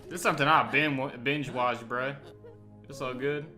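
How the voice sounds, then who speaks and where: A man's voice: medium pitch, steady tone, normal speed, bright, forceful tone. A young man hosting a reaction video, talking to viewers in casual, slangy, emphatic commentary. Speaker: YouTube reaction host. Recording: music playing behind the talk. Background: guitar music.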